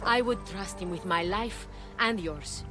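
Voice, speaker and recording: A woman's voice: medium pitch, a little slow, music in the background, close to an everyday voice. Game role: actress as compassionate female healer, mid-range voice, earnest and solemn, faint score underneath. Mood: solemn, caring. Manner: grave, earnest. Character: compassionate, earnest woman healer, troubled by war. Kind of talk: dramatic video game acting.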